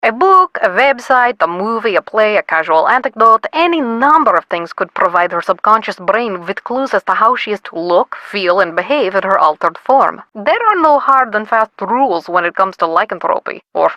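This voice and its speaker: Brusque woman academic, video game role, sharp-edged bright tone, imperious and clipped, dry studio recording. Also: mid-range voice, very animated, normal speed, hardly any pauses, dry recording, highs cut off. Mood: lecturing. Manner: imperious, clipped, impatient lecturing. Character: brusque, self-important woman expert on lycanthropy. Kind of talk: scripted video game voice acting.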